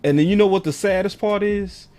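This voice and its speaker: A hoarse, raspy-voiced man hosting a sports call-in show, talking in an emphatic, slangy, animated way. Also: high-pitched, a little fast, faint music behind. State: hoarse, voice going in and out. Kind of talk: passionate sports commentary to callers and viewers.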